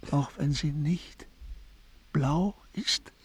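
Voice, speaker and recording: An actor playing a gentle old shopkeeper who is dying, speaking haltingly and tenderly with serene wisdom in a radio drama. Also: male voice, fairly high pitch, full character voice. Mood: serene, weak. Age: older. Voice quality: husky, creaky.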